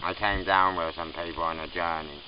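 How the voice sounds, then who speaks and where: A man's voice: low-pitched, fairly even intonation, brisk. A middle-aged man who grew up in Scotland, telling his story in a rambling, self-correcting way, sitting outdoors. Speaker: interviewee. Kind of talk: casual storytelling. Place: outdoors under trees in a camp. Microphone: far camera. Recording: muffled distant outdoor recording with noise.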